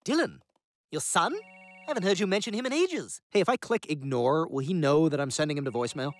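A man voicing a precocious, snide baby boy with a pompous, knowing manner in an animated sitcom. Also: on the high side, a little fast, lightly acted.

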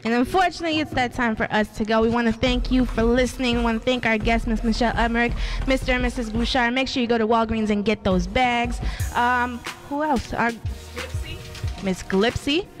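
A teenage girl co-hosting a youth radio show, chatty and running through shout-outs. Her voice is fairly high, with faint music underneath. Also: brisk. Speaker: radio co-host. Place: small radio studio.

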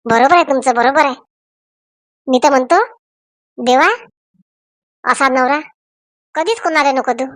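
A woman's voice: sits high, measured pace, pauses often, fairly bright tone, dry recording. A woman voicing scolding, nosy village women in a comedy cartoon, with a rural Vidarbha Marathi accent and theatrical exclamations. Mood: sarcastic. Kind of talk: comic cartoon voice acting in village dialect.

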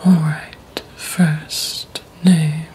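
A young woman playing a polite, poised vampire receptionist at a blood bank in a soft, breathy, deliberate ASMR roleplay. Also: low-pitched, little pitch movement, slight background noise. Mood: polite, businesslike. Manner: slow, deliberate, hushed, formal.

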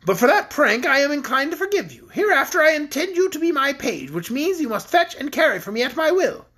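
A woman's voice: high-pitched, big pitch swings, a little fast, fairly bright tone, voice pushed up. A woman voicing a cruel storybook queen, imperious, mocking and haughty. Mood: cheerful menace. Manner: commanding, teasing, haughty. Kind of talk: children's storybook read aloud.

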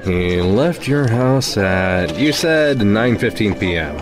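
A man's voice: fairly low pitch, animated, slow, music in the background. A man playing a methodical, skeptical police investigator in an audio drama. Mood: probing, formal.

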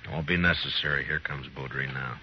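Stern lawman, deep voice, terse, commanding, weary, 1950s radio Western, muffled recording. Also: fairly even intonation, fairly quick, slight background noise. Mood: firm warning. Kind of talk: 1950s radio drama acting. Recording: dull 1950s radio recording, faint music.

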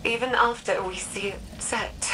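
A woman playing an earnest, tender, troubled woman in a sci-fi video game, speaking haltingly and with feeling. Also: fairly high pitch, steady tone, background noise, natural voice. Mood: tender, wounded. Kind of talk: dramatic video game dialogue.

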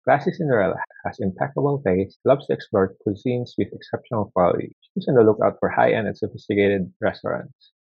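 A man presenting a data science project on a video call, reading at a measured pace. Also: on the low side, creaky, husky, no room sound. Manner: measured reading with small restarts. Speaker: student presenter. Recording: dull lo-fi laptop mic.

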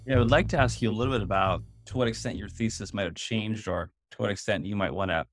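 A man's voice: fairly low pitch, fast, soft background music. A man hosting a podcast interview, putting considered questions in a precise, polite way. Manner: precise, politely checking his understanding.

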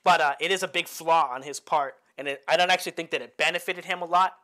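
A man's voice: a little high, quick pace, bright, forceful tone. A man from the Bay Area, a boxing coach, talking casually through fight footage and often checking in with "right?". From Bay Area, United States. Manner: chatty, tags many lines with "right?", laughs at own jokes. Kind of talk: casual fight-film breakdown.

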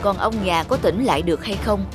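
An actor playing a grave, regretful hospital doctor in a cartoon drama, speaking solemnly. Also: male voice, high-pitched, a little fast, music in the background, close to an everyday voice. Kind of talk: animated drama dubbing.

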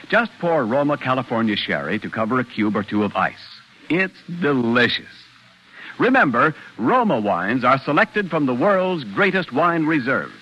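A man's voice: mid-range voice, sharp tone, highs cut off. A man reading a 1940s radio commercial as an announcer, with an emphatic salesman's delivery. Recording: vintage 1940s radio recording.

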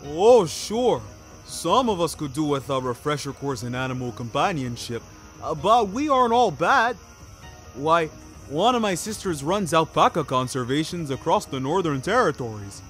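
A man's voice: a little high, sing-song, moderate pace, a bit bright, faint music behind, pitched above the performer's own voice. A man voicing a chatty, defensive animal lover in a comic audio story, rambling and eager to explain.

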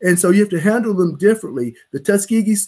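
A man's voice: high-pitched, expressive, fast talker, mellow. A middle-aged man, a physician, explaining emphatically on a live video-call panel from a home office. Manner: emphatic, explanatory, gesturing with hands. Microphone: video call webcam.

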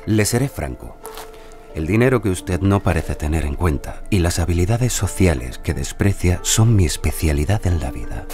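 An actor playing a whimsical, exuberant, sickly lord in an audio drama, with a courtly, droll manner. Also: male voice, low-pitched, animated, moderate pace, a little mellow, faint music behind. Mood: courteous, frank. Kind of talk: Spanish-language audio drama acting.

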